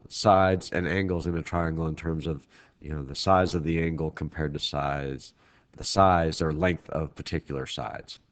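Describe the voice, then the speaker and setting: A man with a very deep, low-pitched voice delivers a recorded math lecture for his class. He is congested from a head cold, so his voice sounds thick and stuffy. He speaks in a casual, loose way, often restarting sentences mid-thought. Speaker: teacher. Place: at home, recording remotely.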